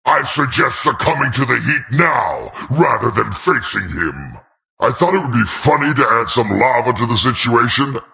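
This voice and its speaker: A man's voice: medium pitch, big pitch swings, normal speed, gravelly, sharp tone, heavily acted. A man voicing a manic, gleeful, taunting fiery dungeon master in a video game, with theatrical emphasis. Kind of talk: video game character voice lines.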